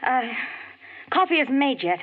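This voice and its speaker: A woman's voice: high voice, very animated. A scared, stammering young woman. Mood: frightened. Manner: halting, stammering, pleading. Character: frightened young woman, Francis, fleeing stepfather. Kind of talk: 1940s radio acting. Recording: tinny old radio broadcast.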